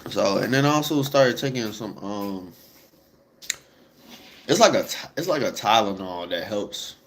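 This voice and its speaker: A man's voice: on the low side, lively intonation, unhurried, a little hiss or hum. A congested young man chatting casually and rambling to his viewers, full of slang and fillers. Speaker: vlogger.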